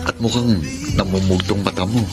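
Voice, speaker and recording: A man's voice: deep voice, steady tone, normal speed, over music, close to an everyday voice. An actor playing a kindly, concerned young man, a friend, with a Filipino accent in a radio drama.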